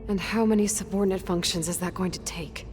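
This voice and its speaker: A woman's voice: on the low side, steady tone, fast talker, a little mellow, natural voice. A woman playing a blunt young huntress. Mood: probing. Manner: direct, inquisitive. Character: determined young woman hunter, blunt and questioning. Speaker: video game protagonist. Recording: game cutscene with music, distant.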